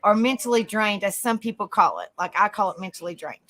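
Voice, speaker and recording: A woman hosting a casual livestream, chatting softly with viewers by name and reading notes aloud. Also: mid-range voice, animated, fairly quick. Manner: soft, easygoing, chats with viewers by name. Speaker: livestream host.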